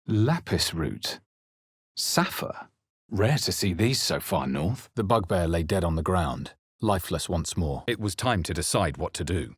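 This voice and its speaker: Casting: solemn fantasy adventurer, very low husky voice, measured drama, close dry studio sound. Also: male voice, no echo, heavily acted. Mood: wary, dry. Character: grave, brooding male adventurer hero of a fantasy game. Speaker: video game voice actor. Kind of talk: scripted fantasy game narration, character lines and spell incantations.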